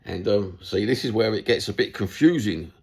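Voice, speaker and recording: A middle-aged Cockney man from London talks straight to his audience in a loose, rambling monologue. His voice sits very low and has a rough, gravelly fry. He is blunt and chatty, and he swears now and then. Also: moderate pace. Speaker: football YouTuber. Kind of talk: casual monologue to camera.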